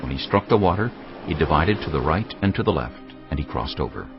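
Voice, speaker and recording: A man narrating scripture as a scripted drama, solemn and measured. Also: very deep voice, animated. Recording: dull lo-fi sound, music underneath. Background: faint music.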